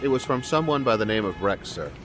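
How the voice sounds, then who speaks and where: A man's voice: lowish voice, big pitch swings, a bit bright, faint music behind. A man playing a sheepish, deferential police sergeant who speaks hesitantly, in an audio drama. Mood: dutiful. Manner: hesitant, halting. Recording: faint outdoor street and vehicle noise.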